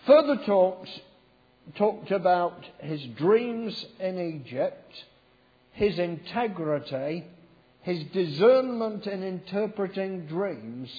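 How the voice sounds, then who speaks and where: A middle-aged man preaching in a church, his voice croaky from a cold. He speaks slowly and warmly, joking with the congregation as he goes. The sound is dull and rings with a long hall reverb. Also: high voice, lively intonation. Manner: chatty, joking preacher leading the congregation in reading a verse aloud. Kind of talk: sermon.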